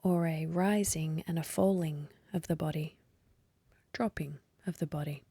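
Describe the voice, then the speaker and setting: A woman leading a guided meditation in a soft, breathy voice, gently and unhurriedly, with long pauses. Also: low-pitched, steady tone, no echo. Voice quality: soft, breathy, partly whispery. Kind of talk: guided meditation, slow calm instruction.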